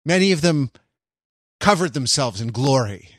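A man's voice: mid-range voice, expressive, moderate pace, no room sound, studio quality. A man from Los Angeles co-hosting a podcast in casual chat, laughing often and slipping into mock-grand speeches. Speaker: podcast co-host.

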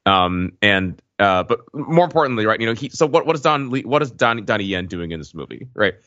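A man co-hosting a podcast, casually discussing film in a halting, stop-and-start way, on a dull recording. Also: lowish voice, lively intonation, a bit bright, no echo. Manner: halting, stop-and-start, many filler tags. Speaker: podcast co-host. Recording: dull, slightly lo-fi, dry microphone.

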